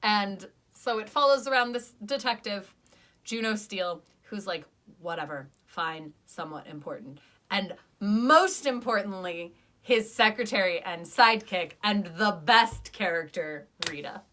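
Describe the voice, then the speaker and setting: Bright, chatty young woman reviewer. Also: medium pitch, animated, measured pace. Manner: chatty, enthusiastic, argues playfully. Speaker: podcast review co-host. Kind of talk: casual chat.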